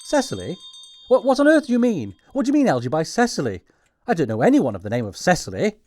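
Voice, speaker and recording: Animated, flustered English gentleman. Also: high-pitched, quick pace, exaggerated voice. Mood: flustered, indignant. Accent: upper-class English. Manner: proper, indignant, emphatic. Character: earnest, easily flustered young English gentleman in love. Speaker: actor in an audiobook production. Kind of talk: stage comedy acting.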